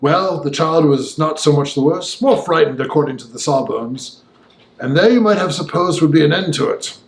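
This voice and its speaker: A man reading as a gentleman who recounts a disturbing tale in vivid, old-fashioned, emphatic speech. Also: fairly high pitch, lively intonation, moderate pace, reverberant, poor audio. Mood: indignant. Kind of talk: audiobook dialogue monologue.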